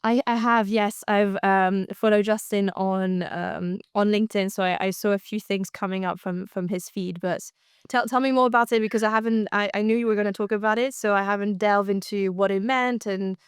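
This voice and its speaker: Female podcast co-host, smooth clear voice at medium pitch, thoughtful conversational delivery, dry close sound. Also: steady tone, moderate pace, dry recording. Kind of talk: casual news discussion.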